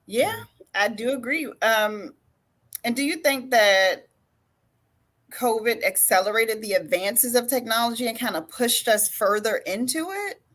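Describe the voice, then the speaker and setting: A woman's voice: a little high, lively intonation, unhurried, a bit bright. A woman hosting a relationship podcast interview who sounds upbeat and friendly and laughs easily while asking questions. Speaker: podcast host. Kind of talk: casual interview.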